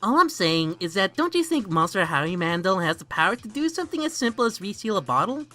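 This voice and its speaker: A woman's voice: medium pitch, sing-song, quick pace, a bit bright. A woman voicing an earnest, nerdy young boy who teases his best friend in an amateur comic dub. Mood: teasing.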